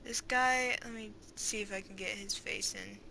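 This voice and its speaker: A woman's voice: medium pitch, fairly even intonation, normal speed, creaky, sharp tone, slight background noise. A woman doing casual video game commentary, thinking aloud in an informal way as she plays. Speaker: gaming streamer. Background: video game music.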